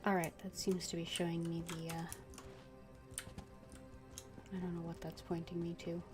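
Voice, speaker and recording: A woman giving casual, unscripted commentary as she streams a video game, hesitant and thinking aloud with long pauses. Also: fairly low pitch, monotone, over music.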